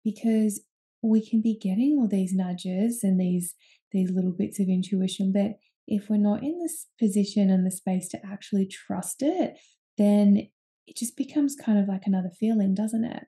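This is a young woman hosting a solo podcast. Her voice is very dark and mellow, with a gravelly edge of fry, and she talks in a loose, confiding way, full of ums and restarts. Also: medium pitch, steady tone, normal speed. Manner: chatty and candid, many ums and restarts. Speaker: podcast host. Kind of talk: casual solo podcast talk.

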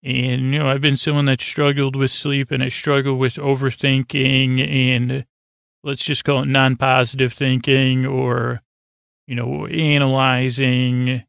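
A man with a creaky voice hosting a sleep podcast in a lulling, rambling way, hesitating and over-explaining as he goes. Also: mid-range voice, flat delivery, a little slow, fairly bright tone, no echo. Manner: soothing, lulling. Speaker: podcast host.

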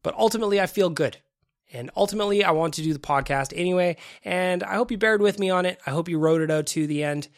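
A man's voice: sits high, fast talker, no room sound. Man, podcast host, congested, sniffly, casual chat, dry studio sound. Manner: chatty and self-deprecating. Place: home podcast studio room. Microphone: studio microphone.

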